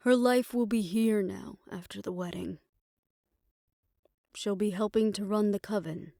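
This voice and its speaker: A woman playing a tender, world-weary lover in a fantasy audio roleplay, speaking in a measured, reflective and intimate way. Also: medium pitch, fairly even intonation, creaky, no echo, clean studio sound. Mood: measured, resigned. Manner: measured, reflective, formal phrasing, soft hushed moments. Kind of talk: romantic audio roleplay, intimate scripted monologue.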